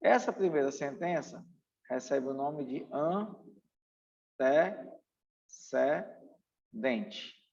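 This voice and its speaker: A man's voice: medium pitch, very slow, creaky, pauses often, a little mellow, highs cut off. Brazilian man teaching, in a long reverberant room. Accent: Brazilian Portuguese. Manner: didactic, emphatic, repeats key points. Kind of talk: exam-prep logic lecture.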